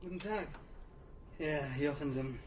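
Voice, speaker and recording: A man's voice: on the high side, measured pace, noisy background, close to an everyday voice. An actor playing a bold, curious young man turned amateur investigator, speaking colloquially and urgently in a radio drama. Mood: subdued. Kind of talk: German radio drama acting.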